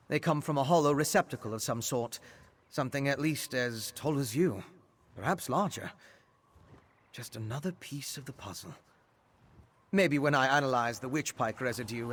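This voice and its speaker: An actor playing a scholarly, reserved vampire investigator in a fantasy video game, speaking in a measured, articulate, courteous way. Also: male voice, mid-range voice, a bit bright, breathy edge. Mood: analytical. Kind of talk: video game character dialogue.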